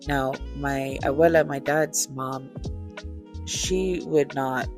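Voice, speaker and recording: A woman in her thirties chatting casually and relaxed, with easy fillers and light laughter, telling a storytime while beading. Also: extremely low pitch, slow. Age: 30s. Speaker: crafter and vlogger. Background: faint chill music.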